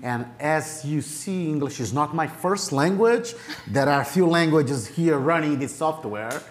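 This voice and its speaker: A man's voice: fairly high pitch, lively intonation, unhurried. A man from Brazil, whose first language is Portuguese, speaking English with a Brazilian Portuguese accent as a missionary pastor giving a church presentation with self-deprecating jokes.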